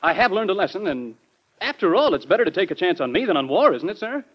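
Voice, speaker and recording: An actor playing a president's earnest young adult son in an old-time radio drama, polite, deferential and a little hesitant. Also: male voice, high-pitched, sing-song, fast. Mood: pleading. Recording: dull old radio broadcast recording.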